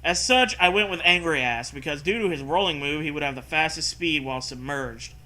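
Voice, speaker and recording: Bright voice, fairly high-pitched man, sarcastic dramatic reading, profane heckling. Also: fairly quick, rough recording. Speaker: comedy co-host reading fan fiction aloud. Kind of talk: mocking dramatic reading with casual riffing.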